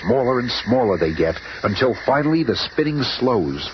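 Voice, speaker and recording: A man's voice: mid-range voice, big pitch swings, normal speed, lightly acted. A man narrating an old-time radio superhero serial in a dramatic storyteller's style. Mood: dramatic, suspenseful. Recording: dull, noisy old radio recording.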